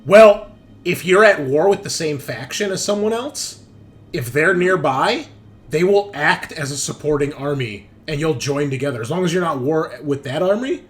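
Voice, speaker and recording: A man's voice: a little high, lively intonation, normal speed. A man hosting a tabletop roleplaying show as game master, talking casually with profane, bantering humor. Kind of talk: casual chat and session recap.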